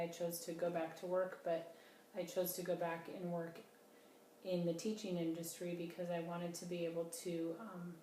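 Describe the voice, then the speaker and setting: A woman's voice: lowish voice, dark tone. Woman in her forties, echoey room. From Minnesota, US. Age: 40s. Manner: plain, with frequent fillers. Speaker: interviewee. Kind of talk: casual interview answers. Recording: echoey room from a distance with noise.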